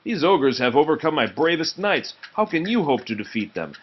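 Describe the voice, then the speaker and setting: A man's voice: a little high, big pitch swings, normal speed, highs cut off, pitched above the performer's own voice. A man reading a fairy tale, playing a scheming king with a commanding, formal way of speaking. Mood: scornful.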